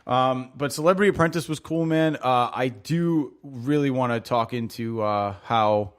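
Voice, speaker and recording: A man's voice: mid-range voice, moderate pace. A man co-hosting a podcast, chatting casually in a rambling way full of fillers. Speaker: podcast co-host. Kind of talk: casual chat.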